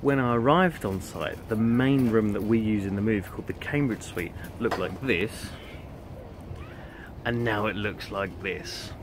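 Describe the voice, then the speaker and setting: A man with a fairly low voice gives relaxed, chatty vlog narration. He is recorded outside at a campsite, and wind and outdoor noise are clearly audible around him. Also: a little slow. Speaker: vlogger and youth camp leader. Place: outdoors on a campsite, under a tent awning. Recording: windy, noisy outdoor camera sound. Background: wind and outdoor ambience.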